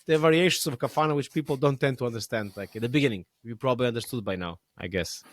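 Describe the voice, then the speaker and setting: Chatty man who laughs a lot. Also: medium pitch. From Serbia. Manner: laughs often, halting with restarts and fillers. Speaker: podcast host. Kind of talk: casual conversation.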